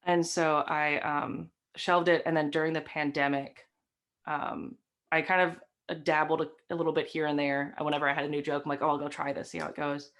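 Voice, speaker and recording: A young woman with a faint Southern American lilt talks in a low, easygoing voice. She tells long stories full of fillers and asides. The sound is from a webcam in a home room. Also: level tone, brisk, a bit bright. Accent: light Southern American. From Southern United States. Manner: chatty, storytelling, many fillers. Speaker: guest, stream host and comic. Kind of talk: casual interview chat. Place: decorated home room with framed posters.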